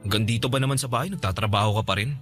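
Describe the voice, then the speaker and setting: An actor playing a devoted young live-in boyfriend in a Tagalog radio drama, coaxing and complaining for attention. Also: male voice, lowish voice, very fast, a bit bright, faint music behind, natural voice. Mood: complaining, needy.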